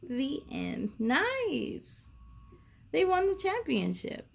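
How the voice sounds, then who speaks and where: A woman's voice: high voice, huge pitch swings, extremely slow, creaky. A woman hosting a read-aloud for young children, telling picture-book stories expressively and speaking straight to her listeners.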